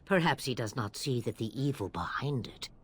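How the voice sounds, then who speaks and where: A woman's voice: rumbling low, animated, moderate pace, slightly rough, full character voice. Old swamp witch in a game, sardonic n bossy, cryptic, voice swings all over the place. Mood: dark, knowing. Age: older. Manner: sardonic, archaic formal phrasing. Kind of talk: video game voice acting.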